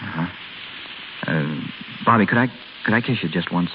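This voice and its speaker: An actor playing an earnest, bashful young American suitor in old radio drama style, talking colloquially with stammers and halts. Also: male voice, deep voice, moderate pace, noisy background, natural voice. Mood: nervous, earnest. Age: young adult. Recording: dull old radio recording.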